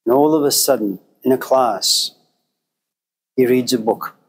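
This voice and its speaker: A man's voice: mid-range voice, unhurried, low-quality recording. A man of Persian background giving a classroom lecture, speaking rhetorically and driving points home through emphatic repetition. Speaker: teacher.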